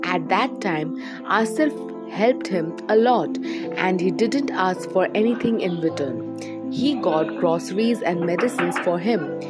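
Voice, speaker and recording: A woman's voice: fairly low pitch, animated, takes it slowly. A woman reading scripted story narration. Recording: loud music under narration.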